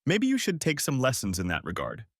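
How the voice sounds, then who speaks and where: A man's voice: mid-range voice, wildly swooping pitch, brisk, dry recording, clean studio sound. A man voicing an adult wife who is sleepy and dismissive of her husband. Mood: irritated.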